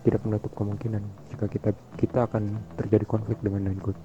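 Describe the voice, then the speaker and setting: A man roleplaying a formal, composed mafia boss in an online game, speaking politely and deliberately. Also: lowish voice, flat delivery, natural voice. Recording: muffled game voice chat with music.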